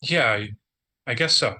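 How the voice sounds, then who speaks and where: Actor as a bright-voiced, deadpan, dejected man. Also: mid-range voice, full character voice. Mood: softened, grateful. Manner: deadpan, halting, wry. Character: dejected, sardonic man down on his luck. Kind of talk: script table read, naturalistic acting.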